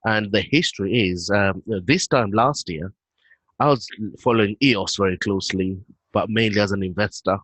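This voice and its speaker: A man's voice: on the low side, moderate pace, no echo. British man explaining, dry close sound. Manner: relaxed, explanatory, frequent um fillers. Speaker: guest, head of operations. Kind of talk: video call interview.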